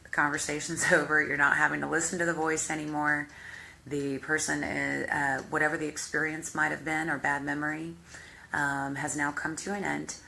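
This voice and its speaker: Deep-voiced lady hypnotherapist, bright forceful tone, slow calm step by step guidance. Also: gravelly, a little hiss or hum. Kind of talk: guided visualization exercise.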